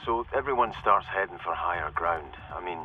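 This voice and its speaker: Very deep-voiced, weary security corporal. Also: male voice, lively intonation, dull audio, music in the background. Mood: somber, reflective. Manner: halting, rueful, confiding, with pauses and self-corrections. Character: weary, cynical male security corporal, survivor of flooded world. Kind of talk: in-character audio diary monologue, dramatic game acting.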